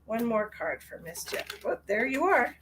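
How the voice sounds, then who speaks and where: A woman, congested, chatting warmly with viewers during a live tarot reading. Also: on the high side, sing-song, moderate pace, slight background noise. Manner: chatty and affectionate, addresses viewers directly. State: stuffy, congested. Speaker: psychic tarot reader.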